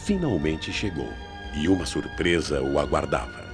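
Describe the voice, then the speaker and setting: A Brazilian man with an extremely deep voice narrates a radio mystery. His delivery is suspenseful and highly expressive, with music behind him. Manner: suspenseful, deliberate phrasing. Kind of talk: scripted dramatic mystery narration. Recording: 1990s AM radio drama with music bed.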